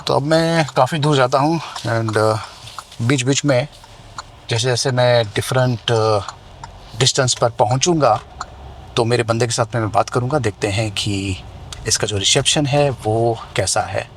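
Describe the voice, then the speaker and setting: An Indian man presents a hands-on tech review in a conversational, explanatory way. His voice has a middling pitch, and some outdoor ambient noise sits behind it. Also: unhurried. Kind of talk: hands-on product review, mixing Hindi and English. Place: outdoors near residential buildings.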